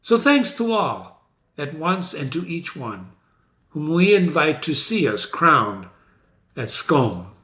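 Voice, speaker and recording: A man's voice: a little high, sing-song, slow pace, bright, forceful tone, exaggerated voice. A man playing a gracious, resolute new king in a formal, oratorical Shakespeare reading. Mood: stately resolve. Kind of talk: dramatic reading of a Shakespeare play. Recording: echoey room.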